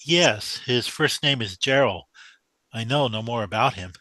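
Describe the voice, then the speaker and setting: A man's voice: mid-range voice, animated, a little slow, bright, forceful tone, dry recording, rough recording. A man playing a young, deferential, bewildered mathematician in a radio drama, hesitant and polite. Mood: confused, wary.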